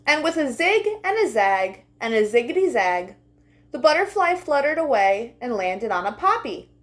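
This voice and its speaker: A woman reading a children's storybook aloud as a storytime host, with expressive, deliberate delivery for young listeners. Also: on the high side.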